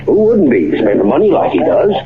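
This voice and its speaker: An actor playing a folksy, gossipy, shrewd American barfly in cowboy dialect for an old radio western. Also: male voice, medium pitch, huge pitch swings, moderate pace, a crowd in the background. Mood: shrewd, musing. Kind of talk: 1930s-40s radio western acting. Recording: tinny, band-limited old radio recording.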